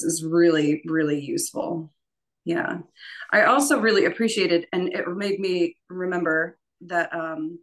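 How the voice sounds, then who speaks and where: A woman with a fairly low voice talks informally as she leads a teaching session. She thinks out loud, pausing and restarting, and often lets small chuckles slip into her phrases. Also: rough recording. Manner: halting, thinking aloud, with frequent chuckles. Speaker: session leader and voice adjudicator.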